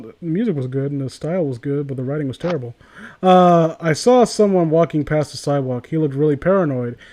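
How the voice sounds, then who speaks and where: A man with a noticeably high voice talks as a co-host in a casual comedy read-along. He is sarcastic and swears freely, breaking off from the text to riff on it. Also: a little mellow. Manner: sarcastic, profane. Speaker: co-host reading and mocking fan fiction.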